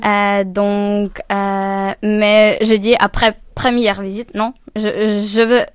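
A young woman from Ukraine, a student, answers while searching for her words. Her voice is smooth and her pace is unhurried. The sound is dry and close. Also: mid-range voice, flat delivery, dry recording. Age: young adult. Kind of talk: interview answers.

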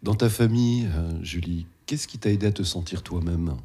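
A man interviewing a young woman, asking gentle, measured personal questions. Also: sits low, breathy edge.

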